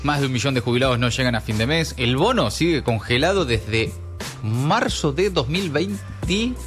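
A man's voice: mid-range voice, expressive, moderate pace, faint music behind. A man with an Argentine accent hosting a casual news talk radio show, chatting with co-hosts.

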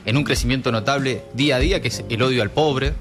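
A man's voice: medium pitch, quick pace, music in the background. A man with an Argentine accent hosting a casual news talk radio show, chatting with co-hosts.

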